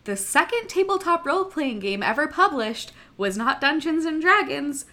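A woman's voice: high-pitched, big pitch swings, moderate pace, bright, forceful tone. A woman presenting a chatty podcast segment in a playful, slangy way. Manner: playful, folksy slangy phrasing. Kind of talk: chatty pre-recorded trivia segment.

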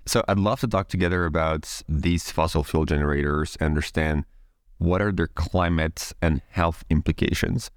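A man with a very low, gravelly voice hosts a podcast, speaking in measured, formal sentences. He sounds close-miked and completely dry, with no room sound around him. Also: steady tone, dry recording. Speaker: podcast host. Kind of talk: scripted intro, then interview questions.

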